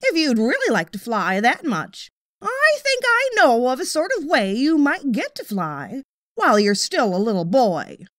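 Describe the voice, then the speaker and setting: A woman's voice: high voice, no room sound, clean studio sound, pitched above the performer's own voice, exaggerated voice. Woman voicing a courteous, lilting old alley cat. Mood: wistful, storytelling. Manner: courteous, unhurried, expressive. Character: polite old female alley cat, well-travelled storyteller.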